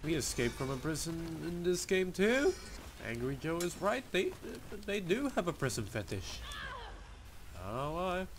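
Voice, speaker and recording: A man's voice: on the high side, very animated, moderate pace, noisy background. A young man with as his language, giving loose, profane and jokey let's play commentary. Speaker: gamer. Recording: game sounds under commentary.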